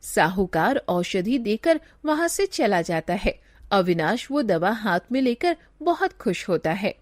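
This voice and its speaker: A woman's voice: medium pitch, sing-song, measured pace, darkish tone, dry recording. A woman giving scripted narration of a story as its narrator.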